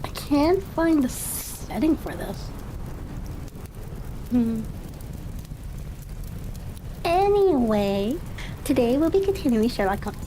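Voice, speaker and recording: A woman hosting a livestream, chatting casually and musing aloud to herself in an unhurried way. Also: high-pitched, very animated, dark tone, a little hiss or hum, low-quality recording. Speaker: streamer and host of a reading session.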